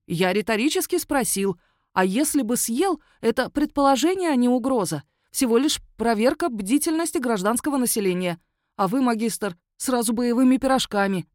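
A woman's voice: on the high side, lively intonation, moderate pace, darkish tone, no room sound, studio quality, voice pushed up, natural voice. A woman voicing an indignant, whiny male werewolf under arrest, protesting and complaining in a raised voice. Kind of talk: audiobook character voice.

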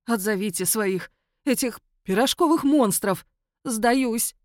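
A woman's voice: a little high, takes it slowly, no echo, studio quality, pitched above the performer's own voice. A woman voicing an indignant, whiny male werewolf under arrest, protesting and complaining in a raised voice. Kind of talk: audiobook character voice.

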